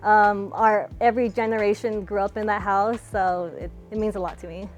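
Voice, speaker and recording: A young woman from California answering interview questions in a relaxed, chatty way, with lots of fillers. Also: mid-range voice, moderate pace, soft background music. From California, USA. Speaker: interviewee, fighter.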